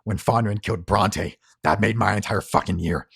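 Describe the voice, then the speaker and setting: Tabletop RPG admiral, played by a man: dying, defiant, commanding, rough-voiced, profane and full of contempt. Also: on the low side, moderate pace, full character voice. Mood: furious contempt. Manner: forceful, profane, spitting contempt.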